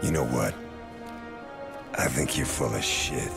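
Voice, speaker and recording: An actor playing a gruff, foul-mouthed grunt soldier in a game cutscene, blunt and sarcastic. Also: male voice, rumbling low, measured pace, full character voice. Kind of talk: video game cutscene acting. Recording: loud music over the voice.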